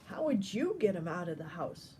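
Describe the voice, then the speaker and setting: An older woman reading a children's picture book aloud with expressive, deliberate phrasing, like a grandmother talking to young listeners. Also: on the low side, slight background noise.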